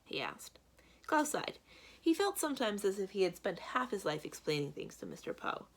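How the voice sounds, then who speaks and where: A woman reading a children's book aloud as a library storyteller, with expressive narration. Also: medium pitch, moderate pace.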